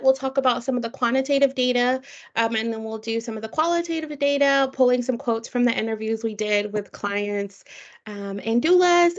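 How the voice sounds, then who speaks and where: A woman presenting evaluation findings on a webinar, talking casually with lots of fillers. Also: on the high side. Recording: dull lo-fi call audio.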